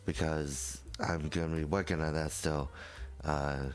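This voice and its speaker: A man's voice: very deep voice, little pitch movement, a little slow, faint music behind. A young man streamer, stuffy from just waking up, rambling hesitantly through a casual announcement to his viewers. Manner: rambling, hesitant, many fillers and restarts.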